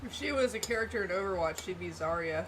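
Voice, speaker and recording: A woman's voice: lowish voice, quick pace, low-quality recording. Dry-joking woman chatting while she eats. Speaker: co-host eating on stream. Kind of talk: casual chat. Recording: steady background noise.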